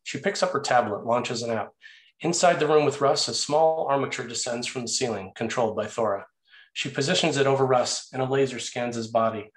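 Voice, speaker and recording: A man's voice: mid-range voice, fairly quick, low-quality recording. A man reading stage directions as the narrator of a screenplay table read.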